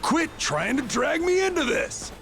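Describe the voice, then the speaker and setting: An actor playing a bitter, hard-drinking, middle-aged father and former treasure hunter in a video game, gruff and curt with a gravelly voice. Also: extremely high pitch, sing-song, a little slow, fairly bright tone, exaggerated voice. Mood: fed up, defiant. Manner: gruff, curt, swearing, dismissive. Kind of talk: dramatic video game voice acting.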